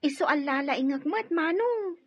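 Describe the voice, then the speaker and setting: A woman's voice: sits high, expressive, moderate pace. A woman with an Ilocano Filipino accent playing a cheerful, eager young little sister in an audio drama. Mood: playful. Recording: dull lo-fi audio with music.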